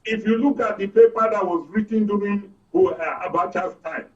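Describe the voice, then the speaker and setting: A man's voice: high voice, moderate pace, recorded from a distance, highs cut off. An older man with a Nigerian accent, a guest economist explaining things in a didactic, emphatic way during a live political discussion, checking that listeners follow. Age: 60s or older.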